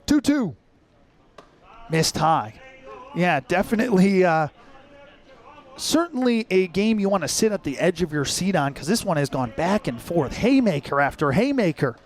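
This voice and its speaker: High-voiced American baseball play-by-play man. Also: big pitch swings, moderate pace. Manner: clipped descriptive phrasing. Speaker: baseball radio play-by-play announcer. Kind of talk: live sports commentary.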